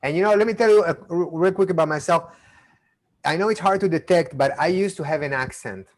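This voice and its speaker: Playful Argentinian-Israeli magician on a video call. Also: male voice, on the high side, fairly quick. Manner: chatty, playful, stammering restarts. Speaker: magician and mentalist. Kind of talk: live online magic show patter.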